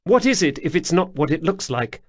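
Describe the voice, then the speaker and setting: A man voicing a bold, quick-witted young woman explorer, pitched well up, speaking earnestly. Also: sing-song. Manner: raised pitch, earnest, trying to sound haughty.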